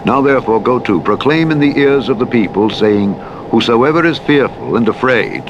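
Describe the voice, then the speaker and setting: Male Bible narrator, low register, formal and measured, heard under heavy vehicle noise. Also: dull audio. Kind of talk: solemn scripted reading of scripture. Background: rumbling truck driving sounds.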